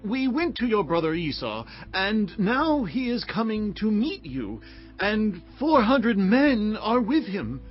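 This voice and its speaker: An actor playing a servant messenger in a dramatized audio Bible, reporting with deliberate urgency. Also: male voice, high-pitched, sing-song, heavily acted. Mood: alarmed. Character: male messenger servant bearing an alarming report. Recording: dull lo-fi audio with music behind.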